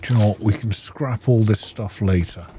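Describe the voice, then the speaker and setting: A man's voice: on the low side, normal speed. A man giving casual gameplay commentary, muttering to himself as he plays. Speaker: gaming host. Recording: loud game music behind voice. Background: game music and sounds.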